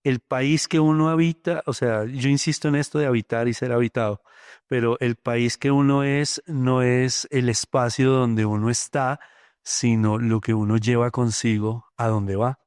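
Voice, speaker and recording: A middle-aged poet from Colombia with a Bogotá accent, speaking thoughtfully in an interview, often pausing with fillers. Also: male voice, medium pitch, steady tone, darkish tone, clean tone, no room sound, studio quality. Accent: Bogotá Colombian Spanish. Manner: thoughtful, many hesitant fillers. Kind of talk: reflective interview talk.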